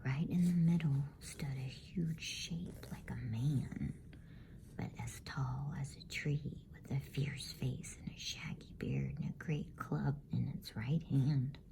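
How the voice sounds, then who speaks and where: A woman reading a bedtime story as ASMR in a hushed, unhurried whisper. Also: a bass voice, fairly even intonation, creaky.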